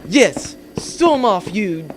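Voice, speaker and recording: Comic audio drama villain: hot-tempered platypus bounty hunter, grandiose, high-pitched, wildly expressive. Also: male voice, very slow, noisy background, heavily acted. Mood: indignant. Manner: theatrical, indignant, grandiose. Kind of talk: comedic audio drama acting.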